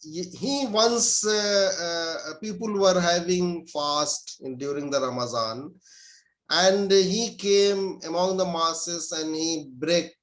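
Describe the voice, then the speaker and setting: A man, an Urdu speaker, answering interview questions as a scholar and pausing often with fillers and restarts. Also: high-pitched. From India. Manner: hesitant, many filler sounds and restarts.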